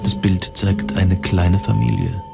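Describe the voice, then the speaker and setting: A man narrating a first-person science fiction audio drama in measured, deliberate, literary phrasing. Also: low-pitched, little pitch movement, vocal fry, over loud music.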